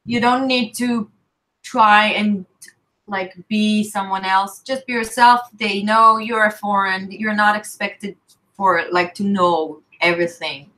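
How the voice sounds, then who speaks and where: A woman's voice: mid-range voice, fairly even intonation, slow pace, pushed and bright, low-quality recording. A woman with an Israeli accent, co-hosting a casual live chat in an upbeat, encouraging way. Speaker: co-host.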